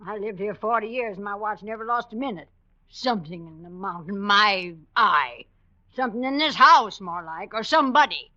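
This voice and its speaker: An actress playing an imperious, crotchety, elderly rich widow in a blunt 1940s radio acting style. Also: female voice, mid-range voice, normal speed, sharp tone, lightly acted. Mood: crotchety, scornful. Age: older. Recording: dull, band-limited 1940s radio recording.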